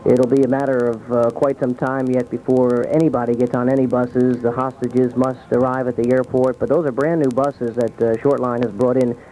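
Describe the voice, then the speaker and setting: A man's voice: mid-range voice, flat delivery, moderate pace, hardly any pauses, warm tone. Muffled male radio field reporter. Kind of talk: live on-scene reporting and brief street interviews. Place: outdoors at an airport. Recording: muffled, noisy 1980s radio aircheck.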